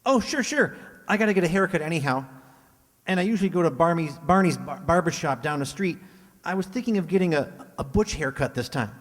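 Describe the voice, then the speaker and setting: An actor playing an earnest teenage schoolboy, hesitant and eager, in a comic radio play. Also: male voice, on the high side, expressive, fairly quick. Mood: awkward, eager. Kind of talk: comic old-time radio sitcom acting. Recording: echoey hall with long reverb.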